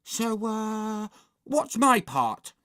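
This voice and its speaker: A narrator voicing a timid, tattling little boy with plaintive, drawn-out protests in a children's storybook. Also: mid-range voice, very animated, sharp tone, pitched above the performer's own voice, full character voice. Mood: whiny, plaintive.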